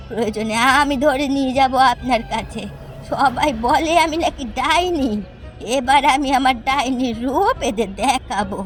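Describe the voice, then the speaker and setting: Woman playing a high-pitched vengeful village witch. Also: natural voice. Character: vengeful, gleefully wicked village witch woman, bereaved mother. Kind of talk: Bengali cartoon fairy tale voice acting.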